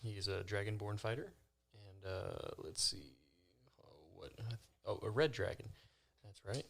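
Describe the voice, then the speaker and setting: A man who is new to tabletop games, talking casually and a bit haltingly as a player. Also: lowish voice, animated, creaky, husky. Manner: hesitant, halting. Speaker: novice player on a tabletop game podcast. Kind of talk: casual self and character introduction.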